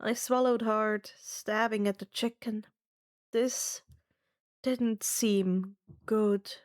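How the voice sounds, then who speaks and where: A woman narrating and hosting fan fiction readings in a measured, expressive storytelling manner. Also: medium pitch. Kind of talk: scripted first-person story narration.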